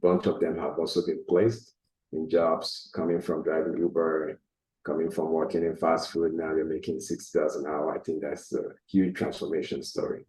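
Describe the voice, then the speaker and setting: A man's voice: very deep voice, level tone, normal speed, darkish tone, reverberant, rough recording. A man speaking as a panel guest over a video call, earnest and enthusiastic about his organization's programs. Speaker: panel guest, nonprofit leader. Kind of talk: casual panel conversation.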